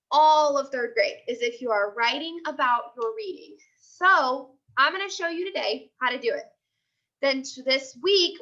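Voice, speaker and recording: A woman's voice: high voice, pushed and bright, muffled recording. A woman teacher giving a read-aloud lesson to third graders, emphatic and repeating her instructions.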